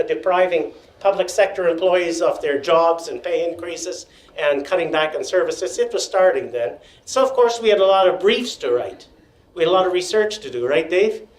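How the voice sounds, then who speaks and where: An older man telling stories at a panel in a meeting room, loud-voiced with wry joking asides, feeling unwell and dizzy. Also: sits high. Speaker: panel speaker. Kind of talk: storytelling at a panel. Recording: echoey room.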